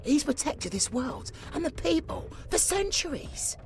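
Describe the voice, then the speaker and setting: A woman's voice: high-pitched, very animated, a little slow, darkish tone, soft background music, full character voice. A woman voicing a feisty, cheeky goblin in a fantasy audio drama, blunt and bickering. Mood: troubled.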